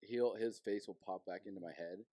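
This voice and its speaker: A young adult man hosting a podcast, talking in a relaxed, candid way and swearing casually. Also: lowish voice, a little fast, no echo. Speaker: podcast host.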